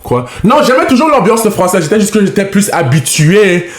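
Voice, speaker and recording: Animated man in an echoey room. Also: high-pitched. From Canada. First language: English. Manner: halting, repeats words, mixes English fillers. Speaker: reaction streamer. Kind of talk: casual reaction commentary.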